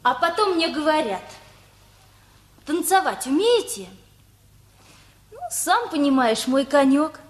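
An actress playing a lively, chatty girl just out of school, telling things artlessly in a radio play, with a husky voice. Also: sits high, slow pace, pauses often, full character voice. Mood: excited, boastful.

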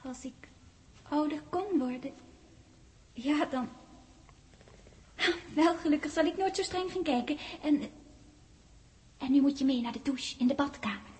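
Chirpy, bossy young girl, piping high, playful teasing, faintly noisy sixties radio play. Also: measured pace, pauses often. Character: childlike, teasing, bossy young girl Louise who never ages. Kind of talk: 1960s radio drama acting. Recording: old 1960s radio, steady hiss.

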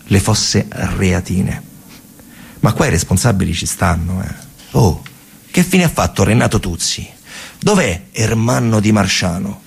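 A man with a central Italian, Roman accent performing a colloquial, emphatic theatrical storytelling monologue, pausing and repeating words, in a gravelly, husky voice. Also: fairly low pitch, animated. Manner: colloquial, emphatic, with pauses and repetitions. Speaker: stage actor and narrator.

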